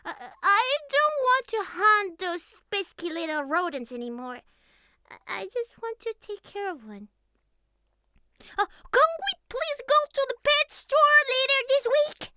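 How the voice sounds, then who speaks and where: A woman's voice: very high voice, big pitch swings, unhurried, bright, forceful tone, dry recording, studio quality, heavily acted. A woman voicing a young catgirl who used to be a cat, playful, naive and pouty, stammering affectionately in an ASMR roleplay. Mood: pleading, sulky, then eager, proud.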